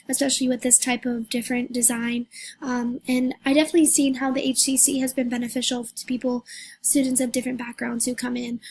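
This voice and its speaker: Woman, young adult, high-pitched, hoarse, hesitant, student presentation. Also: a little hiss or hum. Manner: hesitant, many fillers and restarts. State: hoarse, losing her voice. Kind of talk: recorded class presentation over pictures.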